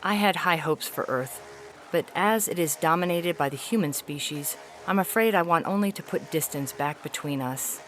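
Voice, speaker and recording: A woman's voice: deep voice, fairly bright tone. Woman as formal, weary alien ambassador over noise. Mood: grave disappointment. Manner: formal, measured, articulate. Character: haughty, weary feline alien ambassador, disillusioned with humans. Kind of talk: dramatic reading of an epistolary science fiction story.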